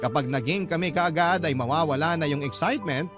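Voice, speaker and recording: A man with a slightly high voice reads a scripted story in the first person. He narrates as a radio drama storyteller, with music playing clearly behind him. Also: fairly quick. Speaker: storyteller host reading a listener's letter. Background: background music.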